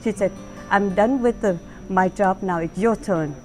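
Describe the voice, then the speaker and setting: A woman's voice: medium pitch, a little slow, over music. A middle-aged woman who owns a restaurant, telling her story with heartfelt enthusiasm in her dining room. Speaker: restaurant owner. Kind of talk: interview testimonial.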